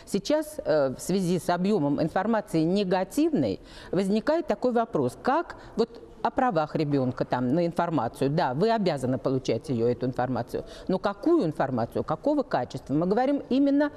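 A woman in her sixties giving opinions as a talk show panel guest, emphatic and halting, repeating phrases for stress. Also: lowish voice, big pitch swings, mellow. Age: 60s. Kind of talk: opinionated panel discussion, unscripted. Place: TV studio with seated panel.

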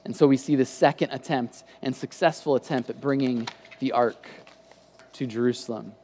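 A man in his thirties, a pastor giving a casual sermon on a church stage, talking conversationally into a headset mic with lively gestures and frequent restarts. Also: mid-range voice, normal speed, in a large hall, a little hiss or hum. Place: church stage with lit patterned backdrop.

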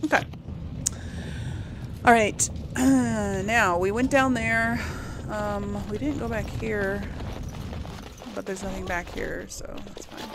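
A woman's voice: mid-range voice, steady tone, takes it slowly, pauses often, background noise. A woman hosting a casual role-play game series, thinking aloud and chuckling at her own mistakes. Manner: chatty, thinks aloud, laughs at herself. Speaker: let's play host. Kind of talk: casual gameplay commentary.

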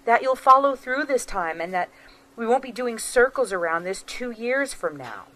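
A woman's voice: fairly high pitch, animated, moderate pace, fairly bright tone, poor audio. An actress playing a tired, guarded wife who is weighing a divorce from her husband in an audio drama. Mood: weary. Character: Maria, estranged wife weighing divorce, weary.

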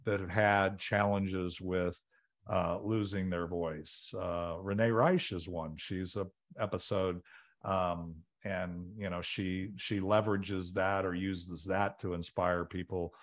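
Lowish-voiced man hosting, many restarts. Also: fairly even intonation, slow pace. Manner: rambling, repeats words, restarts often. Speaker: podcast host. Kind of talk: interview podcast. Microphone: video call webcam.

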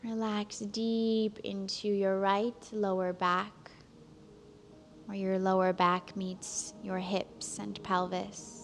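A woman instructor leading a guided relaxation, speaking calmly and gently, with long pauses between soothing instructions. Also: mid-range voice, slight background noise. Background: soft background music.

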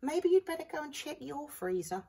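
A middle-aged woman reading a children's story aloud in a dramatic, expressive way. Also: high voice, normal speed. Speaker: primary school staff member reading aloud. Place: small school library corner indoors. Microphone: camera a few feet away. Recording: slightly distant room camera microphone.

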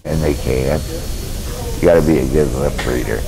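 A middle-aged man, a patient, talking casually in an interview in a very deep, gravelly voice. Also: steady tone, moderate pace, a crowd in the background. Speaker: hospital patient. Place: hospital room, in bed.

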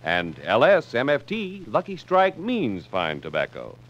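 An American man announces a 1950s radio commercial. His mid-pitched voice is animated and varied, and the vintage recording is muffled. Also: measured pace.

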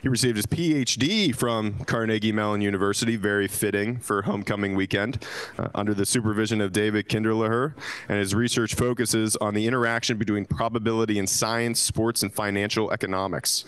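A man's voice: lowish voice, steady tone, a little fast, a bit bright, clear, resonant tone, no echo. A man moderating a conference session, introducing the next speaker in a friendly, plain way. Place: lecture hall.

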